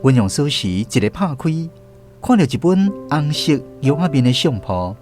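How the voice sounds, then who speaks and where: Animated male storyteller with Taiwanese accent. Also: medium pitch, moderate pace, soft background music. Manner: expressive storytelling. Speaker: radio drama narrator. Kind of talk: scripted children's story narration.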